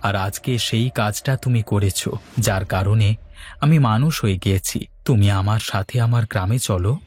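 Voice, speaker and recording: A man voicing a gentle, wronged young landlord's son who tells his tale earnestly in a cartoon. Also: lowish voice, normal speed, slight background noise, close to an everyday voice. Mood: earnest, sorrowful. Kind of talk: Bengali cartoon storytelling dialogue.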